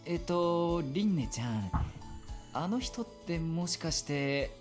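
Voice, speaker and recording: A woman's voice: on the low side, animated, normal speed, a little mellow, lightly acted. A voice actress playing a polite, mildly reproving adult woman in a Japanese visual novel, with music behind her.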